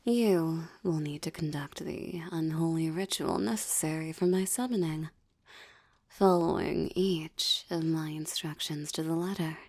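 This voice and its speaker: A woman voicing a seductive, menacing succubus in an intimate audio roleplay, with formal, ornate phrasing and a teasing, breathy delivery. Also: low voice, measured pace, a little mellow, dry recording. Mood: yearning. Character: seductive, menacing adult female succubus demon, teasing and possessive. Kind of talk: intimate audio roleplay, ASMR-like monologue addressed to listener.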